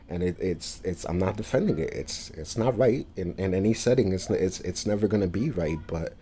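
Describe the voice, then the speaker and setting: New man, very low voice, dark mellow tone, halting, lots of fillers. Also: slight background noise. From New York, USA. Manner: halting, many fillers and restarts. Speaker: vlogger. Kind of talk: casual monologue to camera.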